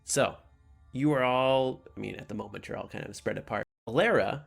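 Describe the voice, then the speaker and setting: A man's voice: fairly low pitch, a little fast, vocal fry, husky, soft background music. A man working as game master, narrating and commenting casually in an informal, self-deprecating way.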